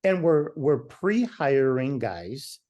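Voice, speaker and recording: A man's voice: mid-range voice, big pitch swings, slow pace, dull audio. A man speaking as a podcast guest, talking passionately and emphatically over a remote call, sometimes restarting his sentences. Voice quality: loud voice. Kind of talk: conversational interview.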